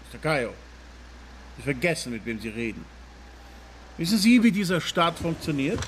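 An actor playing a bullying, ambitious police inspector in a radio drama, condescending, brusque and blunt. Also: male voice, fairly high pitch, very animated, normal speed, a little hiss or hum. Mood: boastful, brusque.

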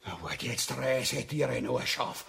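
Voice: medium pitch, animated, moderate pace, gravelly, fairly bright tone, breathy edge, exaggerated voice. An actor playing a coarse, blustering villain in a farcical radio play, with crude colloquial speech and wild outbursts. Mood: vengeful. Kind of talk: theatrical farce radio play acting.

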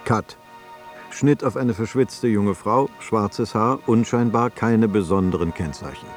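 A man's voice: lowish voice, unhurried, soft background music, natural voice. An actor playing a film producer in a radio drama, terse and directive as he lays out shots. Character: film producer calling cuts and pitching images. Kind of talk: radio drama scripted narration.

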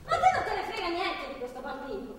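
An actress playing a captive young pregnant woman in a stage drama, bitter, sarcastic and foul-mouthed, speaking in agitated, halting repetitions. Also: very high-pitched, big pitch swings. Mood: scornful, angry. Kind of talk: theatrical stage drama acting. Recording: echoey, distant theatre recording, faint noise.

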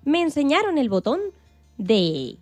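Woman as excitable hen narrator, children's radio play, very expressive swooping delivery, dry sound, music behind. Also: high voice, unhurried, no room sound, full character voice. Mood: conspiratorial, animated. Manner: expressive, dramatic, playful emphasis. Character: excitable farm hen astronaut telling her own story.

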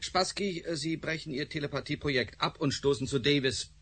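A man's voice: fairly high pitch, normal speed, fairly bright tone, breathy edge. An actor playing a senior male professor who heads a research team, curt and authoritative, in a radio drama. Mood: commanding.

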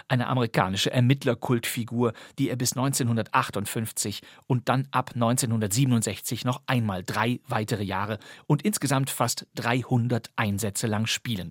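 A German man presents a scripted podcast. His voice sits at a middle pitch and he speaks in an articulate, presenterly style. The recording is close and dry, with no room sound. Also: no room sound. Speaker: podcast host. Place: dry studio.

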